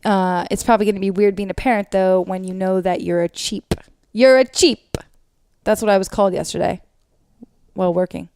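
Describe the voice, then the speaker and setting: A young American woman with a loud voice, telling stories as a co-host in casual, animated podcast chat and swearing freely. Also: mid-range voice, brisk, clear, resonant tone, dry recording, studio quality.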